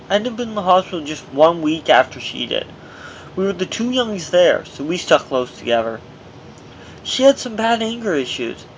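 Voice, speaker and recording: A man's voice: high voice, fairly bright tone, slight background noise, low-quality recording. A man reading his own memoir aloud as narration, in a personal first-person delivery with dramatic emphasis, on an amateur recording.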